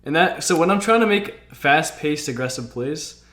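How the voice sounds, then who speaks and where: A man's voice: a little high, lively intonation, normal speed, a bit bright. A man hosting a casual chat on a game stream. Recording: roomy, echoey home stream audio.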